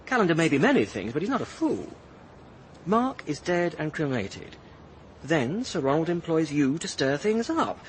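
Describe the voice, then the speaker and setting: A sardonic, urbane young Englishman. His voice is fairly high and swings freely as he argues with cynical, articulate ease. There is faint noise behind him. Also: very animated, moderate pace, rough recording. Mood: sardonic, reasoning. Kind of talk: radio drama dialogue.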